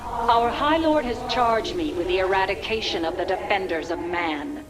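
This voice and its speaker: An actress playing a menacing, haughty alien warrior leader who speaks formally and commandingly in a video game. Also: female voice, medium pitch, normal speed, over music, close to an everyday voice. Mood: imperious threat. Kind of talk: video game villain acting.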